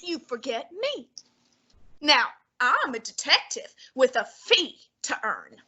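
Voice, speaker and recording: A woman's voice: high-pitched, very animated, slow, pushed and bright, full character voice. A woman playing a pompous, heavyset older male detective in an old-time radio drama, speaking with haughty, fussy, lofty diction.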